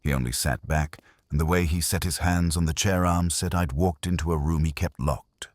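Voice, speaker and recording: A man's voice: extremely low pitch, steady tone, moderate pace, dry recording. A man reading first-person detective fiction as narrator, with a wry, hardboiled manner.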